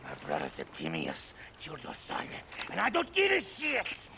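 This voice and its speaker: A man's voice: on the high side, huge pitch swings, normal speed, vocal fry, noisy background, heavily acted. An actor playing a defiant, foul-mouthed captive who taunts his captors in a dramatic game cutscene. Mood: defiant rage. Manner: taunting, screaming, profane. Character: defiant, foul-mouthed captive man, member of a secret order.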